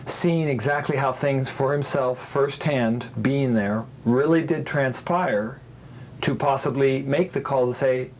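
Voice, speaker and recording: A man interviewing a guest, speaking at an ordinary mid pitch. He asks long, winding questions and nods along with a steady "right." A faint low rumble sits behind the recording. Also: unhurried.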